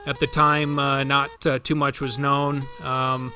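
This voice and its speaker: A man's voice: medium pitch, flat delivery, slow, pushed and bright, soft background music. A man hosting a radio hobby show, giving thoughtful, technical commentary with a hesitant way of explaining. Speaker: host.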